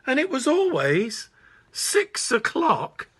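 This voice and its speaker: Slow, animated male storyteller. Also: extremely high pitch, husky. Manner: expressive, deliberate delivery. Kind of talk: children's bedtime story narration.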